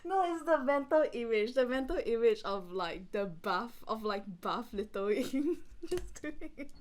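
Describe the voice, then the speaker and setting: Giggly, high-voiced woman bantering slowly. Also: animated. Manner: giggly, rambling, self-interrupting. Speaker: co-host of an amateur book read-along stream. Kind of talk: casual joking banter between friends.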